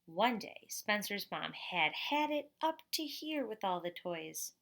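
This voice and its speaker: A middle-aged woman with a bright, crisp-edged voice at an average pitch reads a children's book aloud. She is expressive and teacherly, slipping between the story and casual comments or questions for her young listeners. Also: measured pace.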